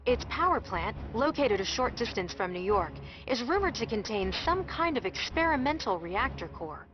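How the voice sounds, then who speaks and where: Female game mission briefer, efficient and animated in pitch. Dull audio, music behind. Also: normal speed. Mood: businesslike.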